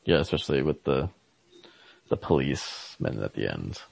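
A man in a casual literary podcast discussion, co-hosting and often halting as he searches for words. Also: a bass voice, sing-song, gravelly, a little mellow, no room sound. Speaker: podcast co-host. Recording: lo-fi podcast audio.

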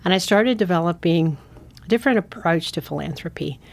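Female interview guest, deep-pitched, thoughtful and explanatory. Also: expressive, brisk, creaky. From San Francisco, United States. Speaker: foundation CEO, guest. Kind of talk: interview answers.

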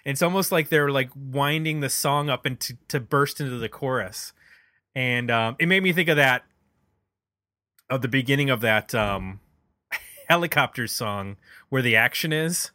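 A man's voice: mid-range voice, normal speed, sharp tone, no echo, clean studio sound. A man talking casually and analytically about music as a podcast host, feeling his way through his phrasing. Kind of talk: casual album discussion.

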